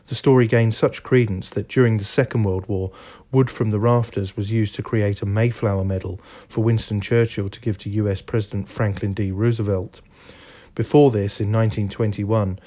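A man giving measured, scripted narration for a history documentary. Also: lowish voice, warm tone. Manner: measured storytelling delivery.

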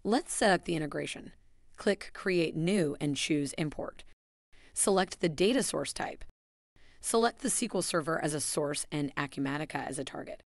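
A woman giving a scripted, even voice-over that walks through software steps. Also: sits low, moderate pace, vocal fry, no room sound, studio quality. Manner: even, instructional delivery. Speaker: software tutorial narrator.

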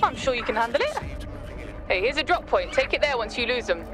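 An actress playing a cheeky, sly smuggler in a video game, with a drawling, sardonic, teasing manner. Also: female voice, on the high side, very animated, brisk, music in the background. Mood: breezy, teasing. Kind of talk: video game cutscene acting.

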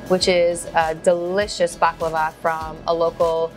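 Chatty low-voiced woman shop owner. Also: little pitch movement, measured pace. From Greece. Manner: warm, chatty storytelling with fillers. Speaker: ice cream shop owner. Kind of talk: casual interview. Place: ice cream shop, indoors. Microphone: lapel. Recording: music playing under the voice.

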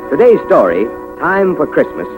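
A man's voice: fairly high pitch, wildly swooping pitch, normal speed, low-quality recording. A man with an American accent announcing and narrating a 1950s radio show in a polished, emphatic style. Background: music.

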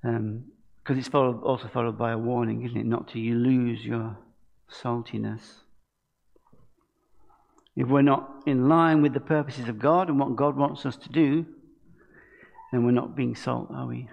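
A man's voice: medium pitch, steady tone, measured pace, gravelly, pauses often, lo-fi sound. An older man giving an informal sermon from a lectern in a church, chatting and asking the congregation questions. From England. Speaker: preacher. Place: church hall stage at a lectern.

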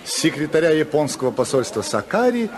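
A man's voice: a little high, sing-song, fairly quick. A man narrating a scripted 1950s radio drama. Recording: 1950s radio, crowd noise behind.

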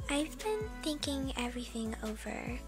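A woman acting an anxious, flustered young woman who speaks haltingly in an animated roleplay drama. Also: high-pitched, soft background music, exaggerated voice. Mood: troubled, thoughtful. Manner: halting, stammering.

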